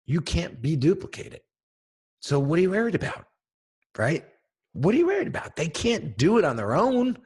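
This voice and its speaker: A man with a deep, somewhat husky voice teaches viewers in a lively, emphatic way, constantly checking in with them. His pitch sits in an ordinary middle range, and he leans hard into key phrases. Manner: energetic, emphatic, addresses viewers directly with frequent "right?" and "okay?". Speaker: brand coach. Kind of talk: instructional video talk.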